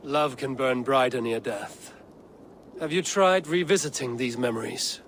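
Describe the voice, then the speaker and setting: Calm, wry Viking warrior played by an actor. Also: male voice, medium pitch, measured pace, a little hiss or hum, close to an everyday voice. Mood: amused, curious. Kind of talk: video game acting.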